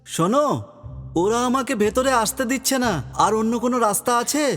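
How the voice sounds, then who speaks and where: A man's voice: very high voice, fairly quick, fairly bright tone. A man voicing a brave, kind young prince in a cartoon fairy tale, earnest and reassuring. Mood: determined. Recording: long hall reverb, music underneath.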